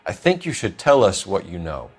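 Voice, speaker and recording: A police detective in a dramatic crime game. His voice is low and he stays composed, courteous and matter-of-fact while probing. Music runs clearly behind him. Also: wildly swooping pitch, normal speed, heavily acted. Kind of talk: dramatic video game acting.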